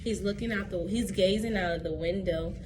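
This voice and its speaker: A woman teacher doing a read-aloud for young children, expressive and instructive, putting questions to her listeners. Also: mid-range voice, brisk. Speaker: teacher reading a picture book to children. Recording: clearly noisy background.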